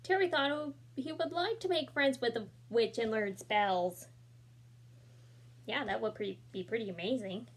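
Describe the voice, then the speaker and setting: A young woman reading a picture book aloud, bright and expressive. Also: fairly high pitch, unhurried, slightly rough. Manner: expressive, with asides and small slips. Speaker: children's book reader. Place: small room indoors.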